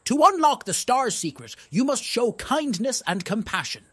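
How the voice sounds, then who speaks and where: A storyteller voicing a gentle giant male hedgehog in a children's storybook, earnest and kind. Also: mid-range voice, big pitch swings, normal speed, breathy edge, no echo, pitched above the performer's own voice. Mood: kindly.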